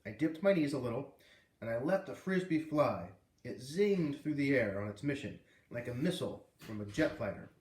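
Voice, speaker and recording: A man reading a children's novel aloud in an expressive, animated way, with casual asides. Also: mid-range voice, moderate pace, slight background noise. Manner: animated, puts on character voices. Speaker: read-aloud host. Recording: roomy, distant microphone.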